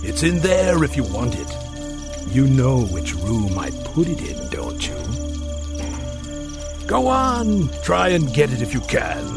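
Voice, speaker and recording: Sinister, theatrical carnival tempter, wildly swooping. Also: male voice, mid-range voice, huge pitch swings, takes it slowly, vocal fry, exaggerated voice. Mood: menacing. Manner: theatrical, insinuating, grandiose. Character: sinister, seductive, ageless carnival tempter, grandiose and obsessive. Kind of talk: audio drama acting. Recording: loud music under the voice.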